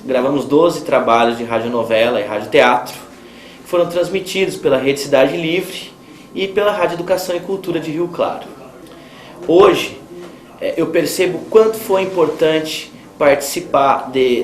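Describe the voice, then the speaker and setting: A man with a Brazilian accent giving a formal personal testimonial in measured, sometimes hesitant phrases. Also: medium pitch. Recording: roomy echo, faint ambient noise.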